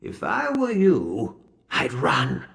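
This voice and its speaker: A secretive, protective cathedral ally gives ominous warnings with theatrical weight. The voice is fairly high and gravelly, slow yet swooping, echoing as in a game cutscene. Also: male voice, huge pitch swings, fairly bright tone, heavily acted. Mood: urgent. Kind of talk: dramatic video game cutscene acting. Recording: echoey room.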